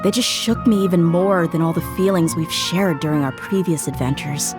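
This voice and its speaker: A tough young mercenary woman, voiced by an actress in a video game, earnest and reflective as she mourns her father. Her voice sits a bit low for a woman. Game music plays clearly under her. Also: normal speed, natural voice.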